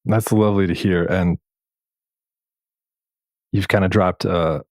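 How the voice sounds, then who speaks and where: A man hosting a reflective interview podcast, speaking in measured, thoughtful phrases. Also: low-pitched, fairly even intonation, darkish tone, dry recording, clean studio sound. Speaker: podcast host.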